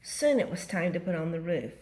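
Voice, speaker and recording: A woman's voice: lowish voice, big pitch swings, a little fast, a little mellow. An older woman, a grandmother, expressively reading a children's story aloud.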